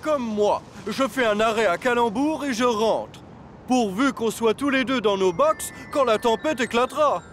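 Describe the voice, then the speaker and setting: Un homme interprète un gros engin affairé, pratique et attentionné, qui parle d'un ton vif. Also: male voice, extremely high pitch, expressive, a bit bright, a little hiss or hum. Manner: brisk, caring. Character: big busy male engine, caring and practical. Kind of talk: French cartoon dub.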